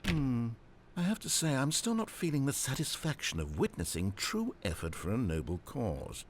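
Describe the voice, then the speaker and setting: An actor playing a pompous, fussy narrator in a video game, goading the player in theatrical, wordy fashion. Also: male voice, medium pitch, sing-song, moderate pace, slight background noise, lightly acted. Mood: fussy, unimpressed.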